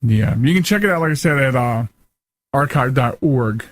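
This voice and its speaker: A man hosting a casual live call-in radio show, talking haltingly and often repeating his words. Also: medium pitch, lively intonation, no echo.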